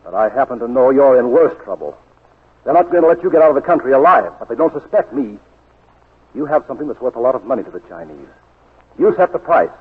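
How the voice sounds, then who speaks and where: Muffled vintage radio: a shady schemer, fast, insistent, husky-edged. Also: male voice, medium pitch. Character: shady, scheming male swindler, wheedling and indignant. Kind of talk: 1940s radio drama acting. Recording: muffled, worn 1940s radio recording.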